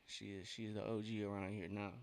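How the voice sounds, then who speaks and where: A man's voice: on the low side, little pitch movement, brisk, darkish tone. A thirty-year-old man hosting a sports watch-along stream, chatting casually in a laid-back, slangy way between bits of commentary. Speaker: livestream watch-along host.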